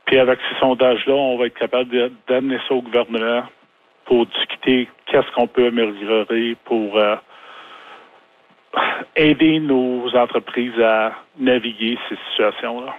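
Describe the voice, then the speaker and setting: A Canadian business owner answers questions over the phone in a halting way, often restarting his words. The line is thin and tinny, as if heard through a handset. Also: mid-range voice, steady tone. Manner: halting, many restarts and fillers. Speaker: interview guest, business owner. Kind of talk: phone interview. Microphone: telephone.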